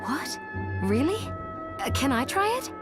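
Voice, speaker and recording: A woman's voice: high voice, big pitch swings, unhurried. A woman playing a kind, resolute young female fighter in a video game. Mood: curious. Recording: loud music behind the voice.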